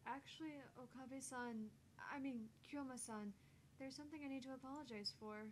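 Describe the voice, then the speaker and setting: A shy, soft-natured teenage boy from a visual novel, played by a woman. He sounds high-pitched and hesitant, stumbling politely through apologies. There is slight background noise. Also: a little fast, lightly acted. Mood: timid, apologetic.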